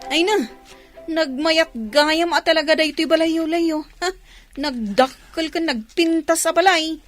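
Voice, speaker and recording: A woman's voice: very high-pitched, unhurried, faint music behind. A woman playing a cheerful, teasing village visitor in a radio drama, with a Filipino accent and a jovial, exclaiming delivery. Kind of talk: Ilocano radio drama acting.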